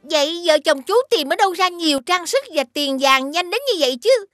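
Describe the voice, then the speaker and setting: An actress playing a haughty, suspicious, greedy rich sister-in-law in an animated fairy tale, with snooty exaggeration. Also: female voice, very high-pitched, a little fast, dry recording, studio quality, faint music behind. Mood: accusing.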